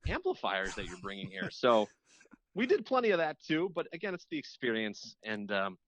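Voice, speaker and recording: Casual male guest, medium pitch, dry sound. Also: wildly swooping pitch, normal speed, fairly bright tone, dry recording, poor audio. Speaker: podcast guest, radio host. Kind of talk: casual reminiscing chat.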